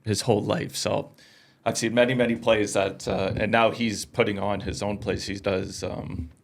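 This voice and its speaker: A man's voice: fairly low pitch, flat delivery, moderate pace, creaky. A man with a Canadian accent, casually interviewing guests as a podcast host.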